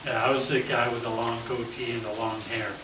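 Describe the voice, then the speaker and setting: A man's voice: mid-range voice, level tone, a little fast, sharp tone. A man hosting an interview, who asks questions hesitantly with many uhs and restarts. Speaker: host, interviewer. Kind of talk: casual phone-style interview. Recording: echoey, distant from the mic, noisy.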